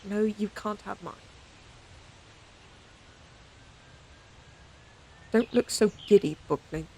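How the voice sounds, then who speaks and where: A woman's voice: medium pitch, normal speed, creaky, mellow, slight background noise, close to an everyday voice. A woman playing a proud, stern lady knight in an audio roleplay, scolding her companion in an exasperated but secretly fond way. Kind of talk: audio roleplay acting, speaking to the listener.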